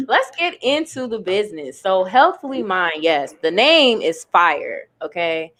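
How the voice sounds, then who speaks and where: Upbeat woman host, casual slang, lively swinging pitch. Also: unhurried. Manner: enthusiastic, slangy, playful. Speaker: podcast host. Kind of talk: casual interview chat.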